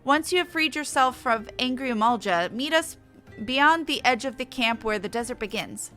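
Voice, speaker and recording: Woman acting as a scornful female warrior for a fantasy game; high, sharp-edged voice, curt orders, haughty bearing. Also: animated, moderate pace, soft background music. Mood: exasperated, commanding. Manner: haughty, commanding. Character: brusque proud female lizard-riding warrior, scornful.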